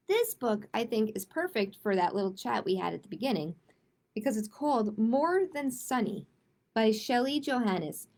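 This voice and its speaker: Expressive high-voiced woman reading storybooks. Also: vocal fry. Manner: expressive, simple explaining with check-in questions. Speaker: library storytime host. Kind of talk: reading picture books aloud to children, with chatty asides.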